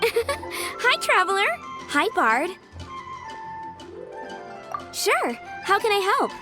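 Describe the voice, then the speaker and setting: Sweet little girl for a game, dreamy, slow, really high childlike voice. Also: very animated, exaggerated voice. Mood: friendly, dreamy. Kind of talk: video game dialogue. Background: game music.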